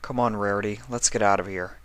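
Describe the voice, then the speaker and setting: A man's voice: lowish voice, steady tone, a little fast, a bit bright, no room sound, natural voice. A man voicing an earnest, protective young male pony in a plain-spoken manner for a cartoon fan story.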